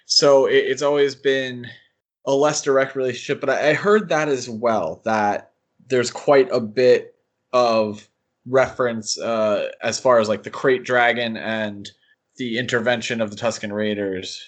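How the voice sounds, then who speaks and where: Halting man co-hosting a casual podcast. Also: medium pitch, fairly even intonation. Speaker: podcast co-host.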